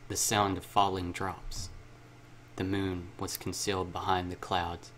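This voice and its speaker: A man's voice: deep voice, fairly bright tone, a little hiss or hum. A man hosting a gaming video, reading a visual novel aloud and breaking in with casual, self-interrupting asides.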